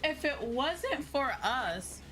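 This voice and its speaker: A woman's voice: high-pitched, big pitch swings, slow. A woman streaming a video game with casual, reactive commentary, sassy and salty with her swearing. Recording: streamer voice over faint game music.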